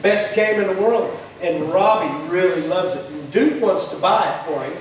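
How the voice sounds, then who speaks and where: Male storyteller for a kids' puppet show, high voice, informal, heard from some distance. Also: moderate pace. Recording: echoey hall, distant microphone.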